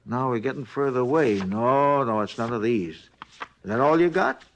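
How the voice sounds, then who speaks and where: A man's voice: mid-range voice, normal speed, a little hiss or hum, close to an everyday voice. An actor playing a chatty, folksy older man looking over mug shots, in a 1950s radio drama. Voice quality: gravelly, lively folksy drawl. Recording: old radio broadcast recording.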